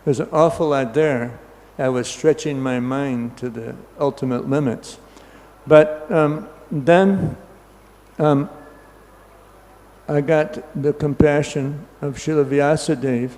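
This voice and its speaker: An older man giving an informal scripture class, talking loudly and in a halting, rambling way full of ums and you-knows. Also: a little high, long reverb tail. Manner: loud, says he has a loud voice.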